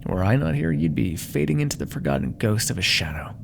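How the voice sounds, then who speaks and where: A man's voice: mid-range voice, very animated, fairly quick, gravelly, background noise. A man playing a proud, menacing demon dealmaker in an ASMR roleplay, theatrical and condescending, coaxing with whispered asides. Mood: smug, businesslike.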